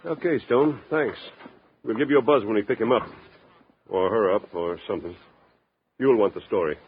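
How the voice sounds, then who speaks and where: An actor playing an offhand, businesslike police sergeant in a 1950s radio drama. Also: male voice, lowish voice, normal speed, phone-quality sound, lightly acted. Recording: thin, noisy old radio recording.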